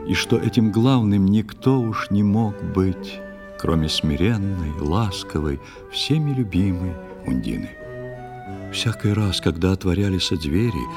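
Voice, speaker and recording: A man narrating a poetic tale as scripted storytelling, with a solemn, measured, dramatic delivery. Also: low-pitched.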